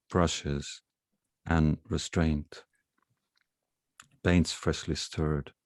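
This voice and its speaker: A man with an Estonian accent and an extremely low, flat voice reads his poetry at an unhurried pace. He pauses and hesitates between poems. The sound is dry and close, with no room behind him. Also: dry recording. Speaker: guest poet. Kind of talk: poetry reading.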